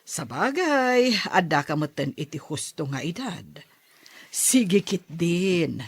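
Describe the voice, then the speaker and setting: A woman playing a teasing middle-aged mother eager for a grandchild in an audio drama, with drawn-out, chuckling delivery. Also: fairly low pitch, big pitch swings, breathy edge, dry recording, heavily acted. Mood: amused teasing.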